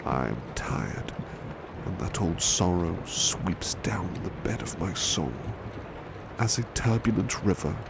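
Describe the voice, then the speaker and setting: A man playing a devoted, proud butler in a soft-spoken ASMR roleplay, speaking in a hushed, formal and caring way. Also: sits low, very animated, creaky, heavily acted. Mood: melancholy, tender. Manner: hushed, slow, formal, gently solicitous. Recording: strong background ambience noise.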